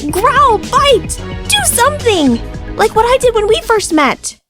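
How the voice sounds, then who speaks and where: A woman's voice: very high voice, very animated, unhurried, exaggerated voice. A woman voicing a wary, indignant, feisty female pet cat in a visual novel, with a skittish manner. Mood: urgent. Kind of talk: visual novel character acting. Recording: music clearly behind the voice.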